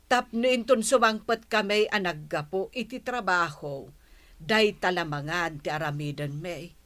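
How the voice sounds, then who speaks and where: Woman acting a sharp, fed-up middle-aged mother. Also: fairly low pitch, measured pace. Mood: bitter, dismissive. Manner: blunt, exasperated. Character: fed-up, scornful mother washing her hands of her son. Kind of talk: Ilocano radio-style audio drama acting.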